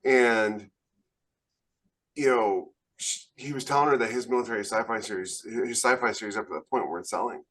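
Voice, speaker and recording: A man's voice: lowish voice, a little fast, a bit bright. A man co-hosting a podcast, chatting casually and acting out bits of quoted dialogue as he talks. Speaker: podcast co-host. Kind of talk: casual anecdotal chat.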